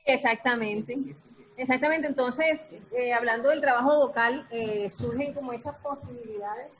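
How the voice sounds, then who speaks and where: High-pitched woman, expressive. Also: normal speed, low-quality recording. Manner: expressive, searching for words, repeating herself. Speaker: radio theatre actress. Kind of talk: casual talk about creative process.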